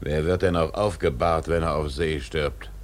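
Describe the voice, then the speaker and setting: A man playing a brooding, obsessed old whaling captain in a radio drama, with gravelly, weighty speech. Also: extremely low pitch, steady tone, moderate pace. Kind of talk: German radio play acting.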